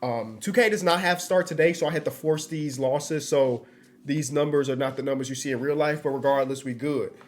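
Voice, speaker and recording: A man's voice: on the high side, brisk. A congested man giving casual, slangy commentary while playing a basketball video game. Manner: loose, slangy and upbeat. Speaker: gaming YouTuber.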